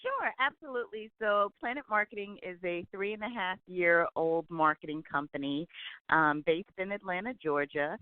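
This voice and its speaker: An enthusiastic woman in her forties talks on a tinny phone line. Her voice comes through smooth and dry, upbeat and eager as she explains things. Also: fairly low pitch, normal speed, dry recording. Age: 40s. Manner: upbeat, enthusiastic, says "absolutely" often. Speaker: guest, travel business owner. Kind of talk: phone interview.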